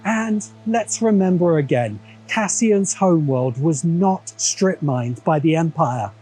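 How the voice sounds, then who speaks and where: Man, English accent, mellow timbre, husky, didactic scripted narration. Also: on the high side, expressive, slow pace, faint music behind, rough recording. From England. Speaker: video essay narrator and host.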